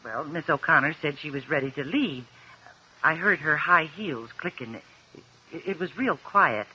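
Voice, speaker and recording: An actress with an American accent playing a nervous, earnest courtroom witness in a 1950s radio drama, hesitant and stammering. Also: female voice, deep voice, lively intonation, moderate pace, bright, forceful tone, slightly rough, exaggerated voice. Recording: muffled old 1950s radio recording.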